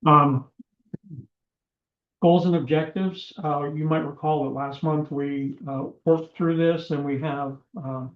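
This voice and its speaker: A man chairing a town commission meeting, his voice going hoarse, talking haltingly with many fillers. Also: a little high, steady tone. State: losing his voice. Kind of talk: meeting proceedings. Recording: echoey room, dull lo-fi sound.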